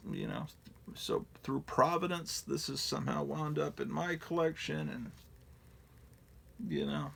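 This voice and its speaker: A man's voice: mid-range voice, a little hiss or hum. A middle-aged man in a casual, rambling show-and-tell, profane and digressive with a self-mocking streak. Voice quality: gravelly.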